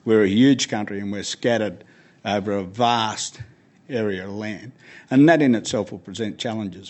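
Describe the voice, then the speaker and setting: A man's voice: on the low side, a little mellow. A man speaking as guest speaker, giving earnest, emphatic answers in a panel Q&A and often repeating words as he starts.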